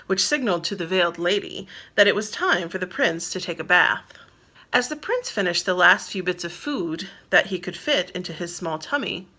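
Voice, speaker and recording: A woman's voice: lowish voice, bright, forceful tone. A woman reading her own novel aloud as narrator, in scripted storybook style.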